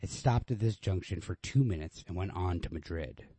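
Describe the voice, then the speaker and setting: A man with a low, mellow voice, recorded close and dry. He sounds informal and unrehearsed, like a host casually reading a story aloud. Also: moderate pace, breathy edge, dry recording. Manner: informal, self-deprecating, unrehearsed.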